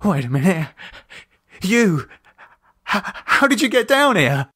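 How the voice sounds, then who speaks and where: Frantic, high-pitched terrified man. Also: sing-song, slow, pushed and bright, husky, exaggerated voice. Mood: suspicious, disbelieving. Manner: frantic, sweary, stammering. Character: terrified, raving, blinded man trapped in a dark cellar. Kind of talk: audiobook character voice.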